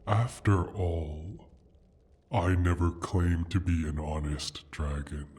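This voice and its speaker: Guy doing a sly proud dragon for asmr fantasy roleplay, really low n dark voice, formal and teasing. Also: fairly even intonation. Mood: possessive, silky. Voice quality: husky, breathy low rumble. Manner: slow, deliberate, formal, teasing. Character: injured male dragon, proud, sly, possessive of his hoard.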